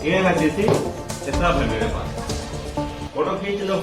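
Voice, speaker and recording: A man's voice: a little high, lively intonation, unhurried, far from the mic. A drunk male school teacher talking back defiantly and repeating himself in a heated confrontation. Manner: defiant, challenging, repetitive. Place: inside a school. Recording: echoey, off-mic, music laid over.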